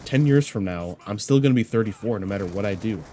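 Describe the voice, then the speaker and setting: A man's voice: lowish voice, fast, warm tone, a little hiss or hum. A man of twenty-four who is a little sick and congested, talking earnestly and reflectively in a personal vlog, rambling with lots of "you know" fillers. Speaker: YouTuber.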